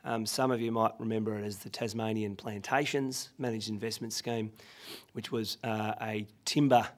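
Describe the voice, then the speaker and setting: A man in his thirties with an Australian accent and a husky voice after losing it, presenting a legal seminar from a podium with many fillers. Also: lowish voice, steady tone, moderate pace. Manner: self-deprecating anecdotal delivery with frequent fillers. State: husky, recovering from lost voice. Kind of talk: professional seminar talk. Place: conference room. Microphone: podium microphone.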